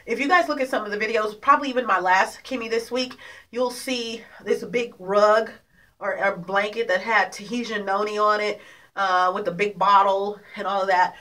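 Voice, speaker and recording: A woman's voice: medium pitch, fairly bright tone, recorded from a distance. Nasal woman rambling a bit off-mic. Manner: halting, repeats words and restarts often. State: congested, nasal from allergies. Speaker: vlogger. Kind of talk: rambling casual vlog. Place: apartment room.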